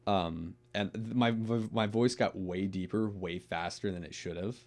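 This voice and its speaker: A young man with a deep voice chatting casually as a podcast guest, rambling with restarts and swearing. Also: steady tone, normal speed, darkish tone. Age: young adult. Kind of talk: casual chat.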